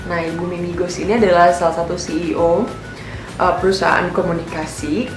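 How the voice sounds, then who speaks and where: A woman's voice: on the low side, flat delivery, a little fast. A young woman telling a personal story in a casual, candid voice-over. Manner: chatty and candid, with lots of filler words. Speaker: vlogger narrating her own experience. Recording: echoey room with music behind. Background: background music.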